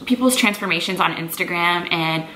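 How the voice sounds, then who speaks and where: A woman's voice: on the low side, normal speed, sharp tone. A woman in her twenties from Brazil, a native Portuguese speaker, chatting easily to camera in a Q&A and using lots of "like.". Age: 20s. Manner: chatty, easygoing, peppered with "like". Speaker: lifestyle vlogger. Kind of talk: casual Q&A chat to camera. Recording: echoey room, faint background noise.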